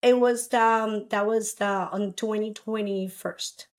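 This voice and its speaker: A woman from Costa Rica in her thirties, telling her personal story as a guest in a halting, earnest way with many restarts. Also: mid-range voice, steady tone.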